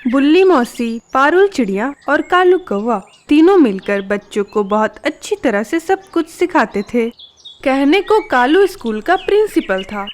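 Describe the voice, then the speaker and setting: A woman reading scripted narration for a children's cartoon story. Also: high voice.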